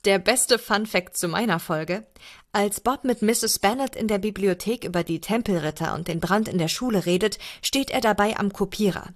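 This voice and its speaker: A woman's voice: mid-range voice, moderate pace. A woman reading an email aloud as a guest reader.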